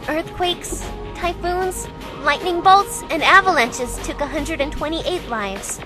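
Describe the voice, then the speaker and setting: High-pitched young actress as woman narrator. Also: close to an everyday voice. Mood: reassuring, explanatory. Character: composed young woman, caring, narrating her own story. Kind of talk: first-person visual novel narration, fan dub.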